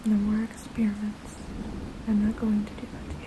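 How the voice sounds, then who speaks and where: A woman voicing a gentle, centuries-old vampire mother in an ASMR roleplay, in a soft breathy whisper with a slow, halting, soothing manner. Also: medium pitch, monotone, background noise, lightly acted. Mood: reassuring.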